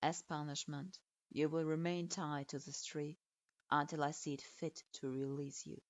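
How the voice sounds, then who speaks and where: A stern male ninja teacher, put on by a woman narrator, speaks in clipped, flat and unsympathetic lines from a very low register. His cold orders land in a dry, dull recording with no room around them. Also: moderate pace, dry recording, natural voice. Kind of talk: audiobook character voice.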